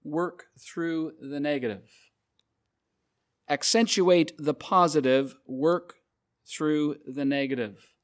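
Male preacher, earnest and measured, slightly higher voice. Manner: earnest, repeats scripture references, measured emphasis. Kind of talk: sermon.

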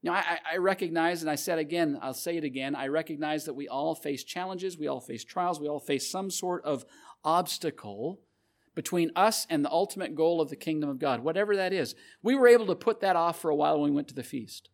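A man's voice: on the high side, a little fast. A man preaching a sermon, earnestly repeating scripture references and stressing key phrases.

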